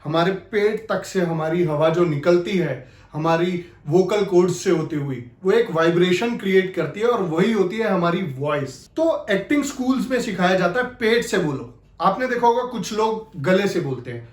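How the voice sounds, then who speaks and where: High-voiced man coaching, echoey room. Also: expressive, normal speed. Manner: explanatory, addresses the viewer directly. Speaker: acting coach and presenter. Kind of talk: instructional video talk with spoken demonstrations.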